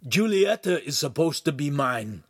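A man voicing a jealous, boastful young suitor in a story, loud and indignant, spitting out his phrases. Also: medium pitch, a little slow, breathy edge, no echo, studio quality, pitched above the performer's own voice. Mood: flustered, suspicious.

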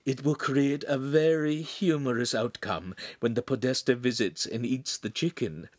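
A man voicing a tiny mischievous creature who whispers sly schemes in a hushed voice. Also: medium pitch, moderate pace, dry recording, voice pushed up, lightly acted. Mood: scheming.

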